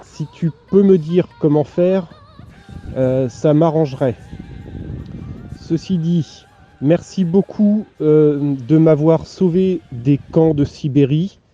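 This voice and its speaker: A naive, earnest man, as an actor plays him, dictates a letter at a very slow, careful pace and reads every punctuation mark aloud. His voice is very dark and deep-toned. The sound is a bit muffled, with faint music underneath. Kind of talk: improvised comic letter.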